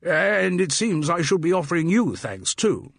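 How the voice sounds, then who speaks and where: Guy doing a posh hearty old cricket chap, public school english, blustery and chummy, pitched up from his normal voice. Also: sing-song, a little slow, no room sound, studio quality, exaggerated voice. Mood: hearty, jovial. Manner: jovial, blustering, chummy tag questions. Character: chubby sixtyish man, hearty, bluff, guffawing cricket enthusiast.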